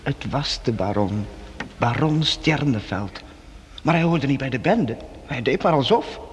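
A man's voice: medium pitch, animated, measured pace, slight background noise, lightly acted. An actor playing an eager, kind-hearted boy in a radio play, earnest and a little hesitant. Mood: reassuring. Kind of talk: radio drama acting.

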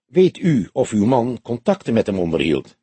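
A man's voice: medium pitch, very animated, dark tone, slightly rough, dry recording. A man voicing a weary old police detective who speaks formally and carefully in an audiobook. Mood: probing. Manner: formal, measured, cautious.

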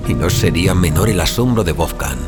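A man with a deep voice narrates literary fiction. His scripted reading is grave, measured and theatrical. A clear bed of music runs behind the narration. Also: animated. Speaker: audiobook narrator.

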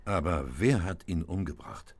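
A man's voice: low-pitched, normal speed. An actor playing a sober male police doctor in a radio drama, clinical and considered.

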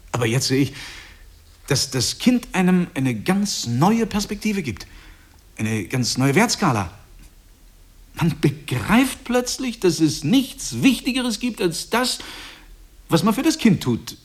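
Radio play: self-satisfied young father, effusive and preachy, slightly husky, very animated delivery. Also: a little high, a little slow, exaggerated voice. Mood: rapturous, preachy. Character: smug, self-satisfied husband and new father, preaching family bliss. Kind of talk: stage-like radio drama dialogue.

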